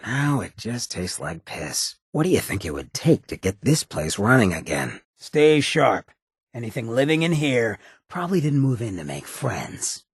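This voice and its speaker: A male actor playing a roguish, swaggering ghoul leader in a video game, with a gravelly rasp, an American accent and a cocky, streetwise drawl. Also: medium pitch, very animated, a little slow, no echo, clean studio sound, exaggerated voice. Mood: wry, musing. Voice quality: raspy, gravelly. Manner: cocky, streetwise drawl with clipped slangy phrasing. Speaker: companion character in a video game. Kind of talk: video game character voice lines.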